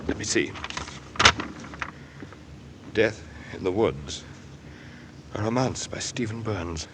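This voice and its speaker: An intense, bitter young man in a mid-century radio thriller. His pitch swings widely as he moves between muttering and outbursts, speaking in clipped phrases. The old recording carries faint noise. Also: lively intonation, takes it slowly. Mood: weary, curt. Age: young adult. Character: John Burns, bitter, hot-tempered young man hiding a killing. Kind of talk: mid-century American radio drama acting.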